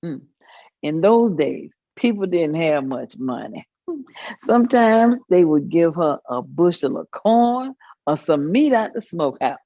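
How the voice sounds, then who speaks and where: Folksy Southern grandmother played by a woman, low voice, reflective storyteller in a staged reading, dry and slightly dull recording. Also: very animated, unhurried, no echo, exaggerated voice. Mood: plainspoken reminiscing. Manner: reminiscing, folksy, punctuated with thoughtful hums. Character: elderly Southern grandmother recalling her midwife mother. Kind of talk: staged reading of oral history monologue.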